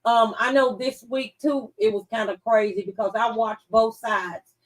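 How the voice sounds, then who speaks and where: A woman's voice: on the high side, fairly even intonation, moderate pace, rough recording. A woman in a casual political discussion on a talk show, speaking conversationally.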